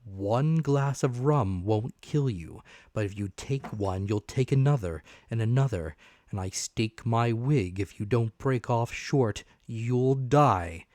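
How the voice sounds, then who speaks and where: A man voicing a brusque, commanding country doctor who speaks emphatically and deliberately in a storybook reading. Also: lowish voice, darkish tone, no room sound, studio quality.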